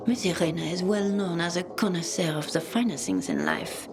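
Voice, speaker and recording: A woman's voice: on the low side, very animated, moderate pace, music in the background. A woman playing a haughty, guarded secretary in a video game, speaking formally and aloofly. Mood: aloof, dismissive. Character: haughty, guarded female secretary to a powerful boss.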